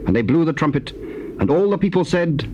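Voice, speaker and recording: A man's voice: a little high, very animated, a little fast, noisy background. A man narrating scripture in a solemn, scripted style on an old cassette recording.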